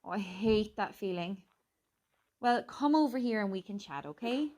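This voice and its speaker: An actress with an Irish accent playing a teasing, sweary, reassuring friend in a naturalistic radio drama. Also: female voice, mid-range voice, lively intonation, moderate pace, a little mellow, lightly acted.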